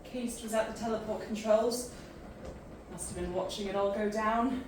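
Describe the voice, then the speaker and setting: A woman's voice: medium pitch, fairly even intonation, normal speed, natural voice. A woman playing an anguished young clone who believes she is human, in an audio drama with both dialogue and narration. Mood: somber narration. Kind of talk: audio drama acting and first-person narration. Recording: very echoey, distant mic, noisy.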